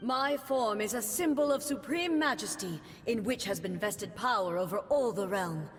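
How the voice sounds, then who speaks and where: A woman's voice: medium pitch, lively intonation, moderate pace, slight background noise, natural voice. A woman voicing a cold, imperious ruler who delivers solemn, commanding pronouncements in a video game. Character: cold imperious puppet ruler, female, ageless. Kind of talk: video game boss dialogue. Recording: long reverb, game music behind.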